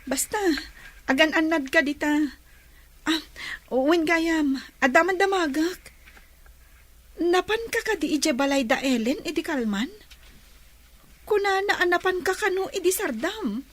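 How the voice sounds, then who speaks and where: A woman's voice: extremely high pitch, lively intonation, measured pace, pauses often, fairly bright tone, exaggerated voice. A woman playing a tender, anxious young daughter in love, hesitant in manner, in a radio drama. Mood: tender, concerned.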